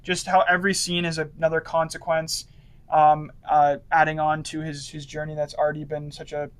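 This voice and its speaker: A man's voice: a little high, completely flat delivery, normal speed, clean tone. A man co-hosting a podcast, talking earnestly and adding detailed points in a casual chat. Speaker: podcast co-host.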